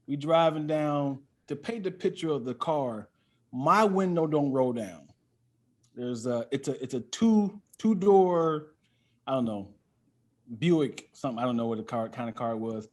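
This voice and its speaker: A man's voice: mid-range voice, a little slow. A man who is a comedian guesting on a podcast, talking in a casual comic storytelling style and acting out dialogue. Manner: animated, acts out quoted dialogue.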